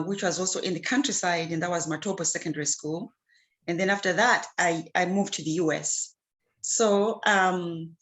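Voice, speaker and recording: Woman, from Zimbabwe, low-pitched, earnest, hesitant, many fillers. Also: a bit bright. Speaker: guest, clean water advocate. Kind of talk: casual interview answers.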